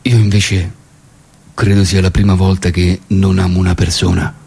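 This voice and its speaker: A man's voice: deep voice, flat delivery, normal speed, creaky, darkish tone, breathy edge, heavily acted. An actor in a radio theatre comedy playing a tender, earnest boyfriend who talks reassuringly and repeats his phrases. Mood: sincere, sweet. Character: tender, earnest boyfriend declaring indifference sweetly. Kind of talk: radio theatre comic dialogue.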